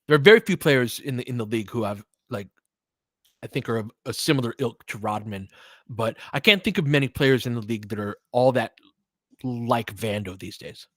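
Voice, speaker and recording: A man's voice: medium pitch, a little fast, no room sound, studio quality. A man hosting a sports stream in a casual, conversational way, thinking aloud and qualifying his opinions as he answers listeners. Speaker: sports livestream host.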